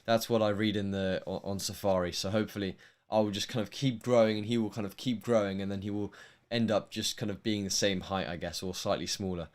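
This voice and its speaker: A young man with a posh London accent hosts a tennis livestream, speaking in a fairly low voice. He talks casually and rambles, restarting sentences and filling gaps with ums. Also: fairly even intonation, brisk. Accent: posh London English. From England. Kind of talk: casual livestream chat answering viewer questions.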